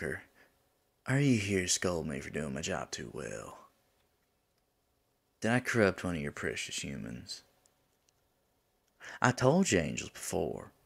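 A man playing a deep, gravelly demon lover. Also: heavily acted. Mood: smug, teasing. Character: teasing, flirtatious male demon lover, smug yet smitten. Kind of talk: intimate ASMR roleplay.

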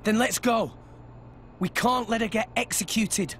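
High-voiced plucky boy hero. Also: measured pace, a bit bright, a little hiss or hum. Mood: curious, urgent. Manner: earnest, youthful, spirited. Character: earnest, plucky, loyal teenage boy hero. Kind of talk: video game cutscene acting.

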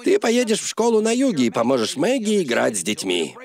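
A man voicing a hearty older teacher who captains young sleuths in a cartoon, with a gravelly voice. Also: on the high side, wildly swooping pitch, fairly quick, heavily acted. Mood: commanding. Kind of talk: cartoon dub acting.

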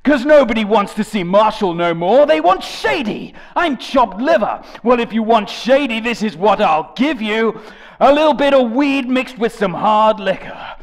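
A man's voice: lively intonation, normal speed. Man reading lyrics with theatrical drama. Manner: theatrical, swinging between shouts and low mutters. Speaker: comedic dramatic reader of rap lyrics. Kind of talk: over-the-top dramatic reading.

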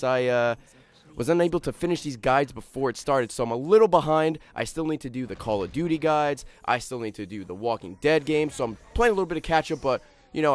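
A young man hosts a casual video game guide, his voice raspy and worn from overuse. He talks quickly and loosely, full of fillers, explaining each step over the gameplay at a fast clip. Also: mid-range voice. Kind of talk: casual gameplay walkthrough commentary.

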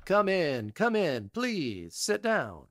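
An actor playing an affable, blunt company chairman in an audio drama, with a creaky voice. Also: high-pitched, huge pitch swings, slow pace, noisy background, exaggerated voice. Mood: welcoming.

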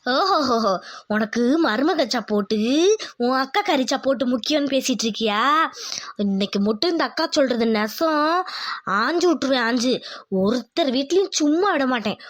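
A woman's voice: high-pitched, animated, moderate pace. A woman voicing a hot-tempered, domineering village mother-in-law in a cartoon family drama, scolding and threatening. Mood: furious, threatening.

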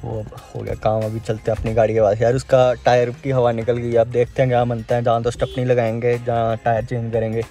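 A man's voice: medium pitch, monotone, fairly quick, hardly any pauses, warm tone. Casual male vlogger, music behind. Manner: informal, addresses viewers as bro. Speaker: travel vlogger. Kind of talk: casual vlog chat to camera.

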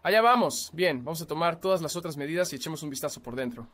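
A man's voice: fairly high pitch, lively intonation, fast talker, a bit bright. A man from Canada giving a casual, joking guitar review. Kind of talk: product review talk.

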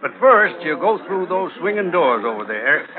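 A kindly, high-voiced storekeeper. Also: male voice, very animated, normal speed. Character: kindly general store keeper, adult man. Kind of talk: 1950s radio acting. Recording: phone-like old radio, crowd behind.

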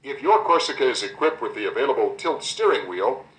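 Lively American male narrator, roomy cassette sound. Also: medium pitch, brisk. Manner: clear, instructional, addressing the listener directly. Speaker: instructional narrator. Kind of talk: scripted car owner's guide narration. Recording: roomy replay of 1990 cassette tape.

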